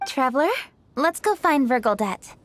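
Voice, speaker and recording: A woman's voice: high-pitched, expressive, moderate pace, a bit bright, a little hiss or hum. A woman voicing a dutiful young noblewoman official in a game, poised, polite and businesslike. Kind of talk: game character voice acting.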